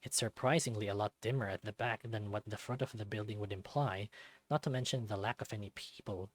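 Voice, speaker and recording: A man reading a visual novel aloud as expressive first-person scripted narration. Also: on the low side, fairly quick.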